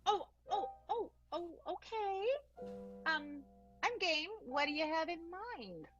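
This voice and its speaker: A woman's voice: extremely high pitch, animated, slow pace, a bit bright, dull audio, faint music behind, heavily acted. A woman doing a rambling vaudeville showgirl. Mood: flustered. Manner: rambling, stumbling over words. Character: flustered vaudeville woman performer, chatty and scheming. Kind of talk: improvised radio play.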